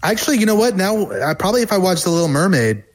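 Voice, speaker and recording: A man co-hosting a comedy podcast, telling casual, crude, halting reminiscences. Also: high voice, sing-song, no echo, low-quality recording. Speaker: podcast co-host.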